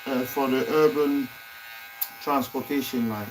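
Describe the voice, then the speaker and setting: A man chatting casually in a barbershop, telling lively stories and repeating words when he gets excited. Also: mid-range voice, unhurried, a little hiss or hum, rough recording. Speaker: barbershop podcast guest. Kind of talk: casual chat.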